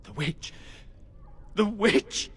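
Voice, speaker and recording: A crazed soldier, painfully slow rambling. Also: male voice, sits high, very animated, slightly rough, full character voice. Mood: delirious, grieving. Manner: rambling, broken, repetitive. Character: mad, traumatised male soldier haunted by slaughter. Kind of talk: video game cutscene acting.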